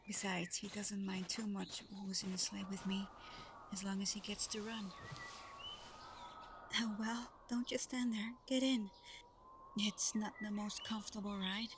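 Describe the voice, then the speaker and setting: A woman playing a shy, eager young woman in a soft-spoken roleplay audio drama, speaking gently with hesitant stammers and breathy half-whispers. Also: medium pitch, noisy background, natural voice. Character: shy, sweet, eager young woman, Santa's adopted daughter.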